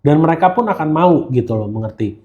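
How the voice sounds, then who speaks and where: A man's voice: on the high side, lively intonation, quick pace, phone-quality sound. An Indonesian man in casual podcast conversation, talking slangily and slipping English phrases into his speech. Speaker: guest gemologist who hosts live streams.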